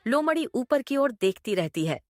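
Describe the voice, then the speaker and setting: A woman reading scripted narration for an animated moral story. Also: high voice, moderate pace, dry recording.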